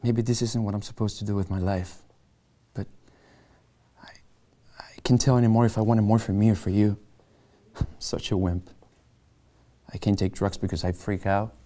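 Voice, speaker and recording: Needs a man playing a lower-voiced, anxious middle-aged realtor who talks himself down. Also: fairly even intonation, moderate pace, long pauses, mellow, close to an everyday voice. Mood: confessional. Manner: earnest, hesitant, self-mocking. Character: insecure middle-aged male realtor, self-deprecating. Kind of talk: table read of a screenplay.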